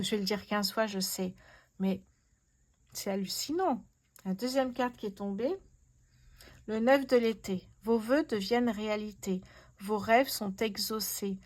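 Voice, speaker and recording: A woman hosting a casual tarot reading, speaking to her viewers in an effusive, awestruck way. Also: on the high side. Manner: effusive, awestruck, repeats herself in wonder. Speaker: host, tarot card reader.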